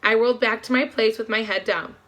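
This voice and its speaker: A woman reading a children's novel aloud as an expressive storybook narrator. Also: fairly high pitch, brisk, dull audio.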